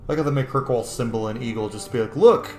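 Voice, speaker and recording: A man giving casual, animated, reactive commentary while playing and roleplaying a video game. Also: mid-range voice, quick pace. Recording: roomy echo, faint music. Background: faint game music.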